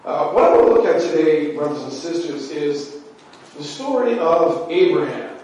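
A man's voice: fairly high pitch, animated, normal speed, darkish tone, highs cut off, slight background noise. A man preaching a sermon, his voice nasal and stuffy from allergies, chatty and full of "you know" fillers. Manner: conversational, heavy use of "you know" fillers. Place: church sanctuary. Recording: very echoey church from far off.

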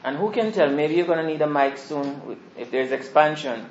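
A soft-spoken man addresses a small congregation in an informal, meandering way as a visiting preacher. His voice sits a little high. The church hall around him is echoey, and the recording sounds dull and low-fi. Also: moderate pace, a little hiss or hum. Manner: soft-spoken, rambling with restarts. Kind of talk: informal sermon introduction.

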